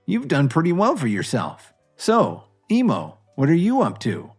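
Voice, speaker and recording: Composed, generous male lead acted by a narrator, animated intonation, music underneath. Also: on the high side, a little slow, slightly rough, pitched above the performer's own voice, full character voice. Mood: friendly excitement. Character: wealthy, composed, generous young husband. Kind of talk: audio drama character voice.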